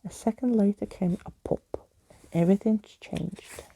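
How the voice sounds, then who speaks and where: Hushed, very creaky woman ASMR reader. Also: medium pitch, unhurried, slight background noise, poor audio. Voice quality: hushed, breathy, often whispered. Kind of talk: soft ASMR storybook narration. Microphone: close microphone.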